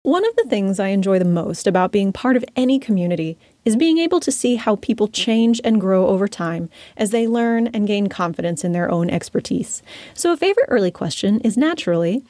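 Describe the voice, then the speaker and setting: A woman hosting a podcast, reading a scripted introduction in a measured, friendly way. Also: medium pitch, very animated, mellow, dry recording. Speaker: podcast host.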